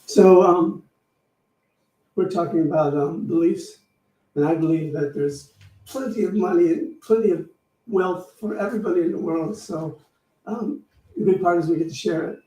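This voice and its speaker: A man hosting a church service, speaking informally and haltingly as he makes announcements and invites offerings. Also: sits high, warm tone. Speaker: service host, minister. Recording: roomy, distant microphone.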